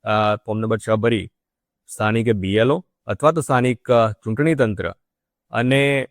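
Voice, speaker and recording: A man speaking as a government official, giving a formal, measured public statement with repetitive official phrasing. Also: on the low side, steady tone, no room sound, studio quality.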